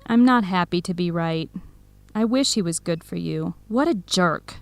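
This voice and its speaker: A woman's voice: lowish voice, moderate pace, dry recording, lightly acted. An actress playing a caring, frank female friend in a radio drama. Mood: sympathetic, indignant.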